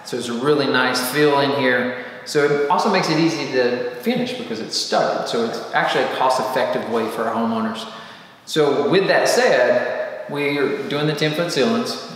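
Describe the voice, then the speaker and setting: A man's voice: mid-range voice, moderate pace, fairly bright tone. A man in his forties talks through construction details while standing in a bare, unfurnished room. He keeps it casual and hands-on, with fillers and the odd restart. His voice bounces around the empty space with a long, roomy echo. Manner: gestures a lot, explains with fillers and restarts. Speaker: home builder. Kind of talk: casual walkthrough explanation. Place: large empty basement room, indoors.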